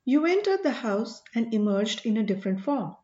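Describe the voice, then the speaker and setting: Woman voicing a wary, respectful man, high-pitched. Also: very animated, moderate pace, darkish tone, roomy sound, muffled recording. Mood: wary, challenging. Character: respectful, wary man questioning his holy elder. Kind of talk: audiobook character dialogue.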